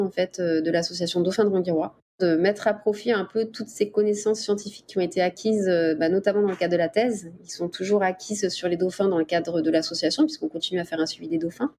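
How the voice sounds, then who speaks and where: A middle-aged woman from the Paris region answering interview questions in a casual, explanatory way with hesitant pauses. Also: medium pitch, darkish tone. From Paris region, France. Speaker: interview guest, dolphin researcher. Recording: clear background ambient noise.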